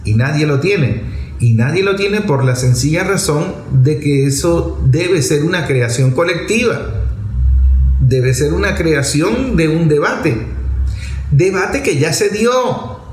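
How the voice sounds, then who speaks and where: A man's voice: a little high, expressive, slow pace. A man with a Venezuelan accent giving off-the-cuff political commentary in a didactic way, repeating phrases and posing rhetorical questions. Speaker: political commentator addressing an online audience. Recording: roomy echo with faint background noise.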